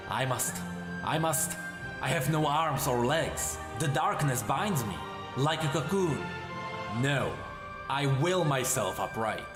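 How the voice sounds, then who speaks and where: A man voicing an ancient, anguished and ravenous warrior spirit trapped in a blade, in halting, dramatic phrases. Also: mid-range voice, echoey room. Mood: panicked, pleading.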